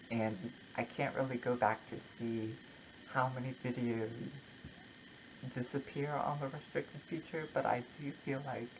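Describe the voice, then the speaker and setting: A content creator talking casually and personally, in a halting, reflective way with short broken phrases. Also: medium pitch, background noise. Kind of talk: casual personal vlog, opinion talk to camera.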